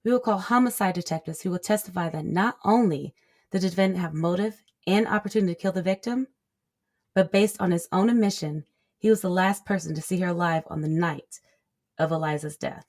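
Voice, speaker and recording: Actress as a confident, lower-pitched female prosecutor. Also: fairly quick, a little mellow. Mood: confident, formal. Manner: formal, assertive. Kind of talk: audio drama opening statement.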